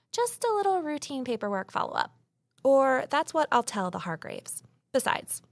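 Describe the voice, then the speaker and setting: A woman's voice: mid-range voice, gravelly, no room sound. An actress playing a determined, curious woman investigator in a scripted mystery audio drama, with a wry, conversational manner. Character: determined, curious ex-journalist woman, defiant amateur sleuth. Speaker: narrator and lead character.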